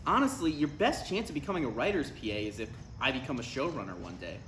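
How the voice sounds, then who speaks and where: A man's voice: medium pitch, very animated, quick pace, a bit bright. A man playing a dry, skeptical, easily exasperated adult son in a live comedy table read. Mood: dry, teasing. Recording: echoey live room, faint noise.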